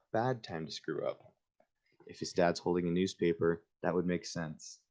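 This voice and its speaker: A man reading a children's book aloud in an informal way, with casual asides of his own. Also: low voice. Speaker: reader hosting a book livestream.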